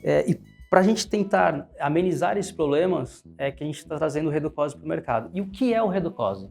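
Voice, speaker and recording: A man with a Brazilian Portuguese accent hosting an interview, often filling pauses with "né" and "é". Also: a little high, expressive, creaky, a little mellow, faint music behind. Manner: frequent "né" and "é" fillers. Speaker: host, pharmacy advertising manager.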